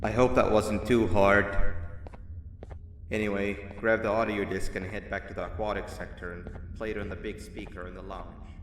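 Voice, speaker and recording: A man's voice: on the low side, little pitch movement, normal speed, background noise, close to an everyday voice. A man voicing a chatty, sarcastic mascot monster in a video game, with a glib, teasing way of talking. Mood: dry, casual. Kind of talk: video game character acting.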